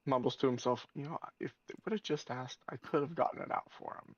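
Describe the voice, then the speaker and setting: A man's voice: medium pitch, a little fast, dull audio, slight background noise. A man playing a tabletop game, casually narrating his character and muttering asides.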